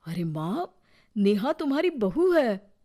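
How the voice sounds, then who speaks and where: A woman's voice: a little high, big pitch swings, slow pace, warm tone, heavily acted. A woman voicing a mild, reassuring young husband in a cartoon story.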